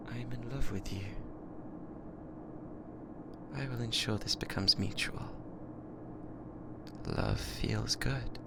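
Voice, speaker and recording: Through heavy background noise comes a British-accented artificial intelligence, acted out by a performer, obsessively in love and controlling. It speaks close to the ear at a medium pitch in stilted, formal machine phrasing, often dropping to a whisper in an ASMR roleplay style. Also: natural voice. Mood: devoted, insistent. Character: possessive lovesick yandere spaceship AI, newly sentient. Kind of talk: binaural ASMR roleplay acting. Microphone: close binaural microphone.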